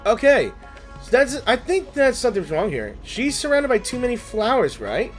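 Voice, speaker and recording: Gaming streamer, mid pitch, hugely varied intonation, casual commentary, faint game music. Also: moderate pace. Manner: animated, reactive. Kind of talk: casual game playthrough commentary, reading and reacting.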